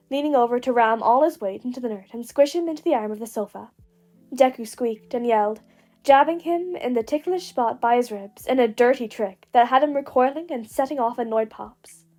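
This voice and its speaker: A woman reading a piece of fiction aloud as narrator, with expressive scripted delivery. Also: a little high. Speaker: podfic narrator.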